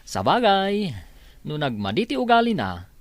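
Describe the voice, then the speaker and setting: A man's voice: high voice, wildly swooping pitch, a little slow, dry recording, full character voice. A man acting a gossipy, disapproving household worker in a radio drama. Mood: gossipy, mocking.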